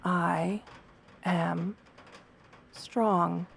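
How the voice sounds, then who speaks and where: A woman playing a gentle, professional wolf-girl therapist in an ASMR roleplay, speaking softly in a soothing, measured, reassuring way. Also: on the low side, steady tone, gravelly, slight background noise, full character voice. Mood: calm, guiding. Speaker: therapist character speaking to a patient. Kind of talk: ASMR roleplay, guided affirmations.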